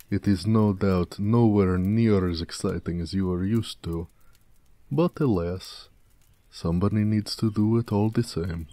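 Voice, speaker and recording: A man playing a humble, affectionate orc blacksmith in an intimate roleplay audio drama, musing softly in slightly old-fashioned phrasing. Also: on the low side, no echo. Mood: proud, playful. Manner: unhurried, soft-spoken, musing, with small chuckles and old-fashioned phrasing.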